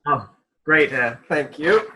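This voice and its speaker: A woman's voice: low voice, lively intonation, slow pace, pushed and bright, slight background noise, full character voice, low-quality recording. A woman acts a storyteller in a comic radio play who clings to tradition. The delivery is flustered and pompous, swooping up and down in exasperation. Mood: flustered, pleading. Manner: exasperated, hesitant when pleading, pompous when commanding. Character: flustered, officious fairy tale narrator, clinging to tradition. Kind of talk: comedic radio play acting.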